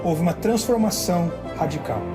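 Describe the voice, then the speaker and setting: A man's voice: sits high, expressive, moderate pace, over music. A man giving an earnest testimony in a ministry video.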